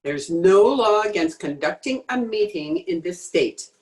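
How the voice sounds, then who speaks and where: A woman's voice: fairly low pitch, lively intonation, a little slow, darkish tone, poor audio. Woman playing a tough, curt gangster's moll. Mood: suspicious. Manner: hard-boiled, challenging. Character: tough, suspicious female accomplice, curt and threatening. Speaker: amateur radio drama player. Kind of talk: old-time radio acting.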